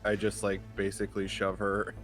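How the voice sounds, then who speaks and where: A man playing a tabletop roleplaying game, chatting casually about his character's moves in halting, tentative phrases. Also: on the low side, completely flat delivery, fairly bright tone. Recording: music behind the voice. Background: background music.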